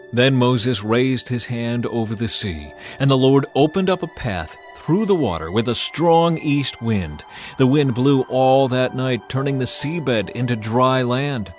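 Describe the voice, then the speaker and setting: A man narrating scripture in a scripted, dramatized audiobook style. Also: medium pitch. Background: music.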